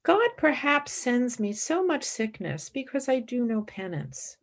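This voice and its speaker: A woman's voice: fairly high pitch, big pitch swings, unhurried, exaggerated voice. An actress playing a fervent, devout woman philosopher and mystic in a radio play, speaking in intense, formal, reverent declamation. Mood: devout, hushed. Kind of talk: radio play acting, monologue and dialogue.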